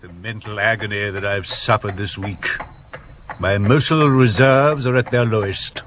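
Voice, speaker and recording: An actor playing a grand, vain, irritable Victorian actor-detective in a radio drama, with a pompous, declamatory British delivery. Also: male voice, lowish voice, moderate pace, a bit bright, close to an everyday voice. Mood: world-weary. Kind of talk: 1970s radio drama acting. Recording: noisy old radio broadcast recording.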